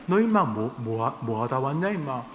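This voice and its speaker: A man voicing God as a stern heavenly father who scolds in gruff, casual slang, in an echoey church hall. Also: mid-range voice, sing-song, moderate pace, slight background noise, natural voice. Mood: scolding.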